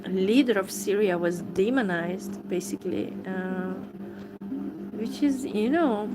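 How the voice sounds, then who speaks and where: Non-native English-accented woman streamer, unhurried and hesitant, steady noise under the voice. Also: mid-range voice. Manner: hesitant, many fillers and restarts, soft laughs. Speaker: livestream host. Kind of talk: casual live-stream chat, reading messages. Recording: noisy background.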